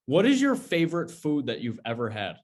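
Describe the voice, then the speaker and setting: A man's voice: a little high, huge pitch swings, a little fast. A man co-hosting a business podcast, asking questions in an easygoing, enthusiastic way. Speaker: podcast co-host.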